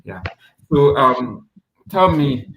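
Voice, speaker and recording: A man's voice: medium pitch, big pitch swings, drawn-out words, a bit bright. A man hosting an online webinar, restarting phrases as he puts questions to his guest. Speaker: webinar host, mentor. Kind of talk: informal interviewing and hosting. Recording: echoey room, noisy online call, clicks.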